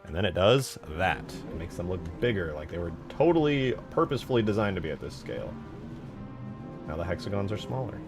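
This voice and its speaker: A man's voice: low voice, very animated, fairly quick. A man giving casual livestream commentary as a game modder, thinking aloud in an informal way. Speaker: game modder streaming his work. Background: music.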